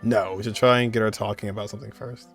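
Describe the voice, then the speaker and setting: Guy streaming a game, kinda deep, chill offhand comments to himself, soft music in the back. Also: fairly even intonation, fast talker. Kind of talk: casual let's play commentary, reading game choices aloud.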